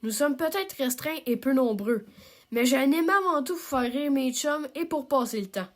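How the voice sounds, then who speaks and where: A woman's voice: sits high, moderate pace. A young woman with a Québécois accent, an amateur animator talking casually about her cartoon with many fillers and self-deprecating asides. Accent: Québécois French. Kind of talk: casual self-narration and outro.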